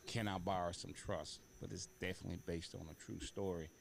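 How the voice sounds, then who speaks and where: Guy hosting a talk show, lowish voice, kinda halting chatty questions, bit of noise behind him. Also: fairly even intonation, gravelly. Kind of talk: conversational interviewing. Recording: noticeable background room noise.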